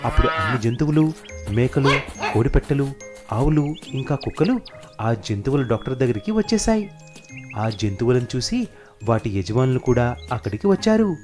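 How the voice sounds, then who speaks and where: A man's voice: mid-range voice, big pitch swings, normal speed, pauses often. A man narrating a scripted children's story. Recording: music behind the narration.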